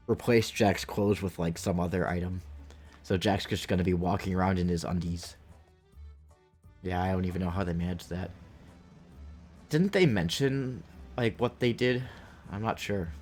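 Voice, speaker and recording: A man's voice: low-pitched, a little mellow. A man giving casual, joking, sometimes profane commentary on a video game stream. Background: game music.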